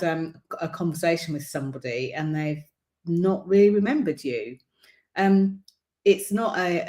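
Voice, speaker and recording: A woman hosting a livestream as a visibility coach, speaking casually to viewers with a croaky throat and many ums. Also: lowish voice, darkish tone. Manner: chatty, many ums, encouraging. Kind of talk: casual livestream talk to camera.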